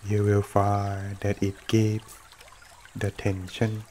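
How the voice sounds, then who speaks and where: Very slow, monotone man guiding meditation. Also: lowish voice, a little hiss or hum. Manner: gentle, unhurried, short phrases with long pauses. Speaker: meditation teacher. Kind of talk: guided meditation. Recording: running water throughout. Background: trickling stream water.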